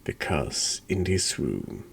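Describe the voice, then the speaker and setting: A man with an extremely low, gravelly voice reads a horror game as ASMR. He takes his time, hushed and often dropping into whispers, with lively swings in pitch. There is faint noise behind him. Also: takes it slowly. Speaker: horror game streamer, narrator.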